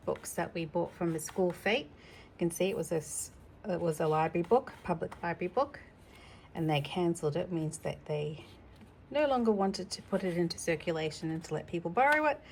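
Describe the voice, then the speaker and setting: Chatty storytime woman, noisy recording. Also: fairly low pitch. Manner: chatty, explains things simply to a child. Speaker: storytime host reading children's books. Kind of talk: casual read-aloud with chatty asides.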